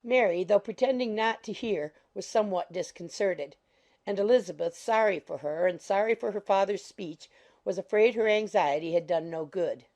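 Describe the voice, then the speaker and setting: A woman narrating an audiobook in a measured, formal storytelling manner. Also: medium pitch, darkish tone. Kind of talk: scripted literary narration.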